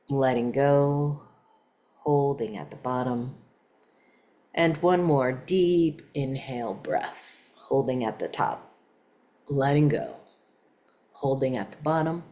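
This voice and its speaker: Very low-voiced woman guiding meditation slowly. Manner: calm, unhurried, soft-spoken with long pauses. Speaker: guided meditation teacher. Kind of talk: guided meditation.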